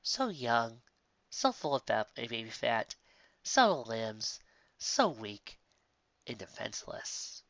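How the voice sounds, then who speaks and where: A man's voice: medium pitch, big pitch swings, slow, pauses often, a bit bright, no echo. A man reading a story aloud as scripted narration. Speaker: story reader. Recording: dull, muffled, very dry.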